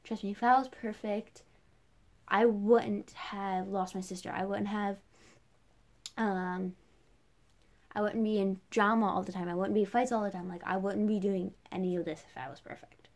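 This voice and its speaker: A woman's voice: medium pitch, fairly even intonation, moderate pace. Young woman rambling casually, chatty vlogger. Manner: chatty, rambling, heavy use of "like". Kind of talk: casual personal rant to camera.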